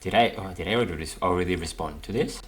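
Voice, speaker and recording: A man's voice: low-pitched, fast, a little hiss or hum. A man hosting a casual gaming playthrough, musing aloud and often repeating phrases. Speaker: gaming video host.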